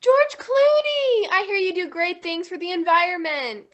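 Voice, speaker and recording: A woman's voice: very high voice, normal speed, dull audio. A young woman playing a ditzy, gossipy fairytale girl in a comic stage play. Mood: excited. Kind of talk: comic stage acting over video call.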